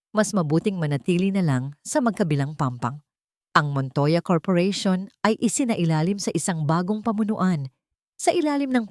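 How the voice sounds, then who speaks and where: A woman's voice: fairly low pitch, darkish tone, no room sound, clean studio sound. A woman reading a scripted first-person dramatic story as an audio narrator.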